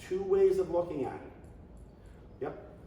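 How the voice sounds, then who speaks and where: A man leading a coaching clinic, talking in an animated, conversational way and now and then shouting coaching calls. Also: high voice, unhurried, background noise. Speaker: flag football coach. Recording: echoey hall, distant mic.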